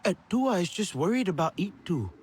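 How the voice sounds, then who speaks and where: High, lively young alien hero. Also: male voice, fairly quick, a little hiss or hum. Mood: earnest, concerned. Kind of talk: dramatic video game cutscene acting.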